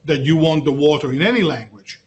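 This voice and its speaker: A man's voice: a little high, brisk, lo-fi sound, a little hiss or hum. Hesitant male lecturer. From Belgium, raised in Italy. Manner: conversational, hesitant with many fillers. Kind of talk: university lecture. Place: classroom.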